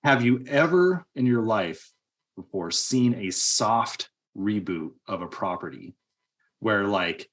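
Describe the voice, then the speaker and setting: A man's voice: fairly low pitch, expressive, slow. A man co-hosting a podcast, discussing movies casually in an emphatic, argumentative way. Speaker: podcast co-host.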